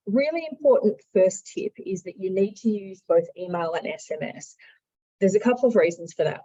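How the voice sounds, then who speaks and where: Low-pitched Australian woman giving software training. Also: highs cut off. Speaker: sales and marketing director giving software training. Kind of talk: instructional screen-share walkthrough, conversational.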